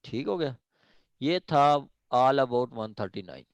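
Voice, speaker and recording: A man with a Pakistani accent giving an informal law lecture, explaining step by step and reading formal passages haltingly. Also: mid-range voice, lively intonation, dry recording, muffled recording. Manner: switches between reading formal English haltingly and explaining conversationally. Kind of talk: informal lecture explaining a legal text clause by clause.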